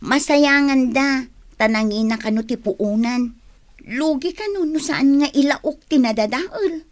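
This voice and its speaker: A woman's voice: sits high, measured pace. A woman with a Filipino accent playing a chatty, complaining fruit stall worker in a radio drama. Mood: grumbling. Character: grumbling, gossipy female fruit stall worker. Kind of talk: Ilocano radio drama acting.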